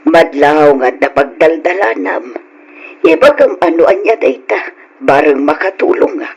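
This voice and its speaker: An older parent in an old Ilocano radio drama, gently probing and concerned. The voice is raspy and slightly raised in pitch, with an old broadcast sound. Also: male voice, expressive, measured pace, highs cut off, heavily acted.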